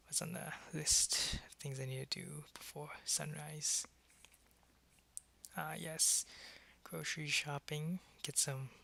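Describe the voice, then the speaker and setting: A man playing a suave, possessive, centuries-old vampire in an ASMR roleplay, taunting and condescending, often in a breathy half-whisper. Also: mid-range voice, pushed and bright, full character voice. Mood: pleased, musing. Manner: slow, deliberate, taunting, condescending.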